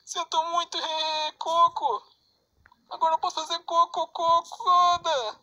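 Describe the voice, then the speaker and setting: Shrill squawking bird-robot villain. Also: male voice, steady tone, measured pace, sharp tone, a little hiss or hum, full character voice. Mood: manic shouting. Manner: boastful, stuttering repetitive nonsense catchphrases. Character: squawking silly bird-robot villain, self-proclaimed king, boastful. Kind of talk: comic video game fandub.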